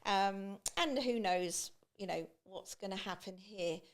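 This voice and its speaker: A woman talking casually as a podcast guest. Her voice sits at a middling pitch. She chats on with many restarts and fillers. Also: measured pace. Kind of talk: casual conversation.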